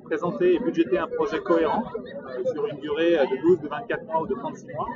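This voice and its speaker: Guy in his 20s from brittany, kinda high voice, talks slow with lots of um, sounds far off from the mic. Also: fairly even intonation, over a noisy crowd. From Brittany, France. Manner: measured and advisory, many hesitations. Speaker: entrepreneur interviewee. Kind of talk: interview monologue. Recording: distant, muffled mic with loud background noise.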